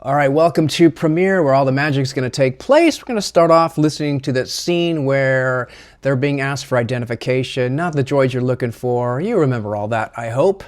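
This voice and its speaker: A man presenting a software tutorial in a casual, chatty, slightly self-deprecating way. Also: medium pitch, expressive, a little fast.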